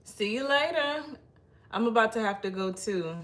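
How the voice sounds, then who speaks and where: A woman's voice: on the high side, animated, normal speed, fairly bright tone. A middle-aged woman from Massachusetts, hosting a casual livestream and chatting with viewers in a shy, rambling way with many restarts. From Massachusetts, United States. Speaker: livestream host. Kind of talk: casual live chat with viewers.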